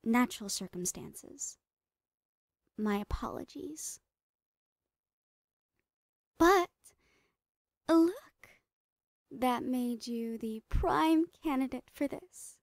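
A psychotic lady doctor played by a woman in a horror ASMR roleplay, with a fairly high voice that slips into breathy whispers. She drags out her words very slowly, sweetly soothing and giggly with menace underneath. The sound is close and very dry. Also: animated, dry recording, full character voice. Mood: gleeful, unhinged. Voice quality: breathy, often dropping into whispers. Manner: soothing, giggly, drawn-out words, falsely reassuring. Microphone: close microphone, dry.

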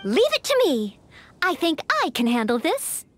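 Young maid knight, very high girlish voice, lively intonation, earnest, polite, eager, video game character. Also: normal speed, faint music behind. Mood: determined. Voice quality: sweet, girlish.

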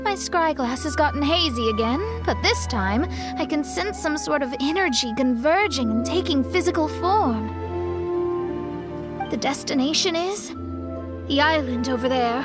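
A high-voiced young woman mage from a video game, proud and sure of herself. She speaks in a haughty, scripted way, with music playing behind her. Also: animated, a little slow. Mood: pensive. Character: proud young woman astrologer mage, self-assured and brisk.

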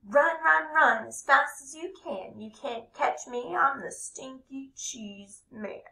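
A woman's voice: high-pitched, slow pace, vocal fry, bright, forceful tone, voice pushed up, heavily acted. A woman voicing a small, cocky runaway man made of cheese, taunting in a sing-song chant. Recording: echoey room.

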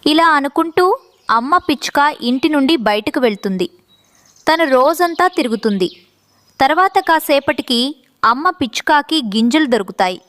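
A woman narrator with a high-pitched voice tells a scripted children's story. The sound is dry and close, with no room echo. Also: animated, normal speed, fairly bright tone.

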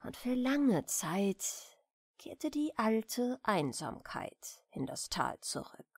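A woman reading a bedtime story aloud in a soft, gentle, measured storytelling manner. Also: on the high side, big pitch swings, vocal fry, husky, no echo, studio quality. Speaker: audiobook reader of bedtime stories.

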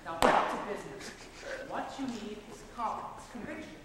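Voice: medium pitch, expressive, a little fast, close to an everyday voice. A performer playing a persuasive, domineering older-hand political mentor, coaxing and patronizing, in an amateur stage comedy. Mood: scheming, persuasive. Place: large theater stage, distant camera. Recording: very echoey theater, faint noise.

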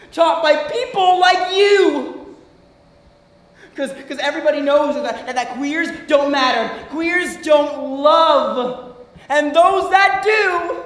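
High-voiced, wounded young man played by a male actor. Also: fairly bright tone. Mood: bitter rage. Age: young adult. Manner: emotional, halting repetitions, emphatic. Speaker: student actor. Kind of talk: theatrical stage monologue. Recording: echoey room.

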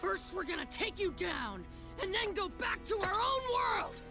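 A woman playing a brash, stubborn teenage boy ninja hero with a raspy voice in an English anime dub. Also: very high-pitched, very animated, a little slow, music in the background, heavily acted. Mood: defiant. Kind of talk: English-dubbed anime acting.

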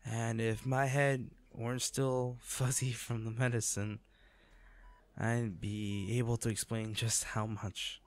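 A man playing an injured, devoted boyfriend in an intimate audio roleplay, speaking tenderly, earnestly and haltingly. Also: medium pitch, little pitch movement. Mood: wistful, tender. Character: injured, devoted boyfriend proposing from a hospital bed.

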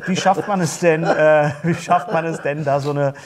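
A middle-aged man hosting a casual studio interview, halting and rephrasing his questions as he goes. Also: a little high, steady tone, a crowd in the background. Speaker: talk-show host. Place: small indoor talk-show studio.

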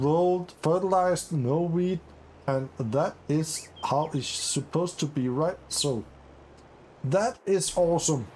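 Upbeat, slow-talking gamer man. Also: on the high side, slight background noise. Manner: upbeat, easily excited, many fillers. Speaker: gaming channel host. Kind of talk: casual let's-play commentary.

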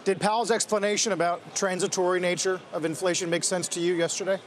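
High-voiced male anchor, brisk questions. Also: flat delivery. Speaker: TV news anchor. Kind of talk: live TV business interview.

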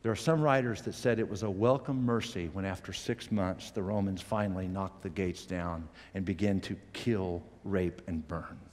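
A middle-aged man preaching a sermon from a church stage on a headset mic, animated, swinging from loud emphatic peaks to quiet low asides. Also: moderate pace, hardly any pauses, in a large hall. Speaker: pastor.

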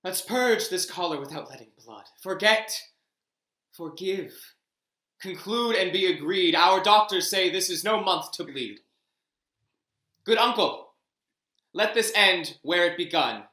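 A man's voice: high-pitched, lively intonation, a little slow, long pauses, pushed and bright, echoey room, exaggerated voice. A man voicing a commanding young king in a Shakespeare reading over a video call, with regal verse delivery. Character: King Richard, commanding young male monarch. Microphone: video call webcam.

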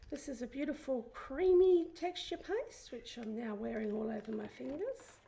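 Croaky woman, chatty crafter. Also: high voice, expressive, moderate pace, dark tone, slight background noise. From Australia. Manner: chatty, often drops to a whisper while working. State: hoarse, recovering from illness. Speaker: craft vlogger. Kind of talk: casual craft tutorial narration. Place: craft desk indoors, fan running.

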